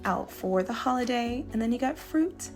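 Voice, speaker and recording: A woman's voice: fairly high pitch, lively intonation, normal speed. Casual female vlog narrator over music. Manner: conversational, slangy.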